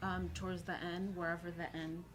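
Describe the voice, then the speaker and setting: A woman's voice: on the low side, fairly quick, noisy background. A woman whose first language is not English, an ultrarunner guest chatting casually in an interview and filling her talk with "like". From New York. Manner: chatty, heavy use of "like", animated when quoting herself.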